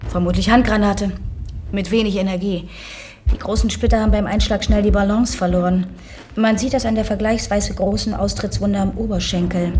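Woman acting as a brisk young female army doctor in a radio play, dry clinical manner, faint noise behind. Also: medium pitch, steady tone, a little mellow, natural voice. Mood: clinical, firm. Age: 30s. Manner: matter-of-fact, clinical. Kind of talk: radio drama dialogue, clinical dictation.

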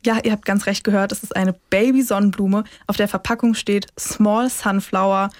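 A woman's voice: mid-range voice, fairly even intonation, moderate pace, a little mellow, no echo, clean studio sound. A young woman speaking in a chatty, informal way as a podcast co-host, dropping in English slang. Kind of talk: casual banter between co-hosts.

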